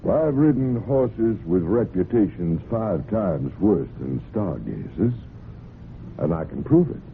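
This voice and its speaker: Swooping, low-voiced cocksure horseman. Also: sing-song, unhurried, heavily acted. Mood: insistent, confident. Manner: folksy, insistent, polite. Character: persistent, cocksure horseman, genial but pushy buyer. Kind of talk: old-time radio drama acting. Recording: muffled, noisy old radio broadcast.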